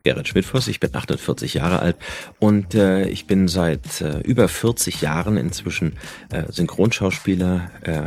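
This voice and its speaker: A man in his forties with an extremely deep, low voice gives a short self-introduction. He speaks haltingly and fills his pauses with small filler sounds. A faint hiss sits under his voice. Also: a little mellow. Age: 40s. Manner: hesitant, frequent filler sounds. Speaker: dubbing actor and dialogue director.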